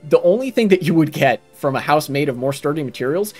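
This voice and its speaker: A man's voice: a little high, lively intonation, fast, a little mellow. A young adult man, rambling casually and earnestly as he explains things during a game stream. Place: small room at home, indoors. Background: game music.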